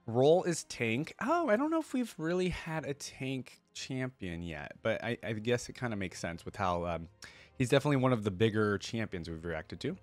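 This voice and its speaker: A man's voice: medium pitch, sing-song, moderate pace, faint music behind. A man hosting a reaction video, speaking conversationally as he comments and reads lore aloud. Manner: conversational, interjects his own reactions while reading. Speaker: YouTube reaction host.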